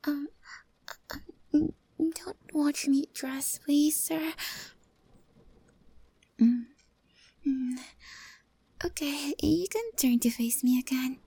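A woman voicing a shy, timid young stray cat girl in an ASMR roleplay, talking softly and hesitantly, often in a whisper. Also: high voice, slow, creaky, long pauses, dry recording, full character voice. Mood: soft, tender.

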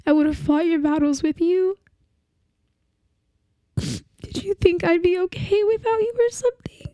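A woman's voice: high-pitched, fairly even intonation, warm tone, no room sound, close to an everyday voice. A woman playing a young woman in grief. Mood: heartbroken. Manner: halting, tearful, with restarts. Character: young woman grieving a lost partner. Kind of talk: dramatic monologue.

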